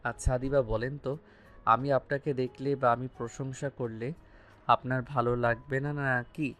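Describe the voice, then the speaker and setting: An actor playing a possessive, earnest young student in love in a romantic audio story. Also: male voice, mid-range voice, flat delivery, unhurried, a bit bright, faint music behind, lightly acted.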